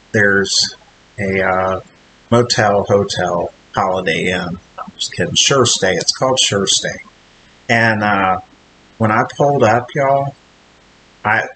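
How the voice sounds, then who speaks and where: A man's voice: lowish voice, fairly bright tone, slight background noise, low-quality recording. A man, rambling casually to camera in a hotel room about his trucking orientation. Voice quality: nasal. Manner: rambling, chatty, frequent restarts and fillers. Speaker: vlogger, trainee truck driver. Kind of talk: casual vlog talk to camera.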